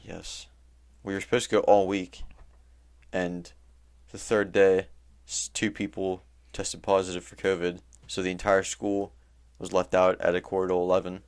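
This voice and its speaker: A man's voice: deep voice, flat delivery, normal speed, a little mellow, smooth, no room sound. A young man co-hosting a podcast in casual chat, speaking in slang with some swearing. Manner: slangy, swearing, brief replies. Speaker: podcast co-host.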